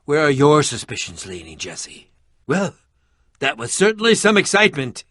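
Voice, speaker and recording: A close, dry voice of an eccentric middle-aged male adventurer from a video game. He is pitched high and swings widely between notes. He speaks with pompous, theatrical formality, prickly yet full of curiosity. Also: wildly swooping pitch, moderate pace, fairly bright tone, no room sound, full character voice. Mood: curious, wry.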